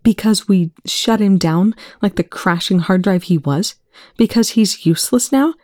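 A woman reading aloud, voicing a distraught young man who shouts and then chokes out whispers through tears. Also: lowish voice, normal speed, no room sound, clean studio sound, natural voice. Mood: bitter.

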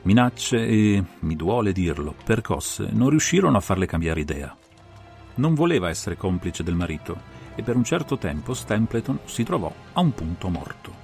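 A man performing an analytical gentleman detective recounting a solved case in a dramatized audiobook, with measured, theatrical phrasing. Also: on the low side, big pitch swings, vocal fry, soft background music. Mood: measured, grave. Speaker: audiobook reader and interpreter.

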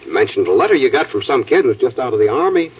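A man's voice: medium pitch, very animated, fast, recorded from a distance, lightly acted. An American man playing an eager, cheeky young newsroom assistant in a 1940s radio acting style. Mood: eager, teasing. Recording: muffled, noisy old radio broadcast.

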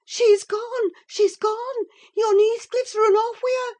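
A woman voicing a panicked, excitable young servant maid, breathless and gushing, in an audiobook. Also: very high-pitched, moderate pace, voice pushed up. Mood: frantic alarm. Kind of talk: audiobook character acting.

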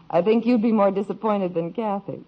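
An American actress playing a sensible, patient middle-aged mother in a 1950s radio sitcom, gently scolding and reasoning. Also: mid-range voice, unhurried, lightly acted. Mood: gentle. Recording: dull old radio recording.

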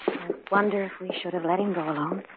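An actress playing a worried, pleading young wife in a 1950s radio drama. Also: female voice, fairly low pitch, steady tone, quick pace, natural voice. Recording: tinny, hissy old radio recording.

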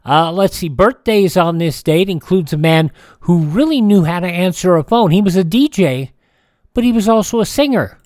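A man's voice: sits high, animated, normal speed, a little mellow, dry recording. Conversational male radio host, dry sound. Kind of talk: scripted but casual radio show presenting.

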